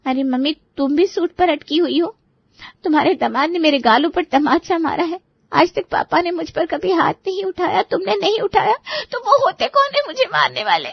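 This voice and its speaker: A woman's voice: very high-pitched, big pitch swings, moderate pace, vocal fry, no room sound, highs cut off, exaggerated voice. A woman playing a dutiful, wronged daughter-in-law in her twenties, pleading and protesting with hurt in a Hindi moral story. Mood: tearful, indignant.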